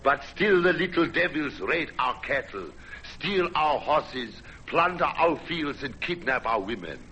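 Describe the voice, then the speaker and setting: A man's voice: fairly high pitch, expressive, measured pace, slightly rough, heavily acted, poor audio. An actor playing a proud, embattled leader rallying his people in declamatory old radio drama oratory. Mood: indignant rallying. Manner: declamatory, forceful.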